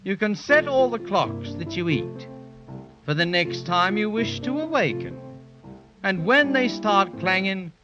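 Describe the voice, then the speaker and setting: Jolly, animated Cockney ostrich. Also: male voice, high-pitched, a little slow, muffled recording, over music. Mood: merry, boastful. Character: jolly eccentric Cockney male ostrich, politely boastful. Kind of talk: 1930s children's radio acting, part sung. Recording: vintage 1930s radio recording.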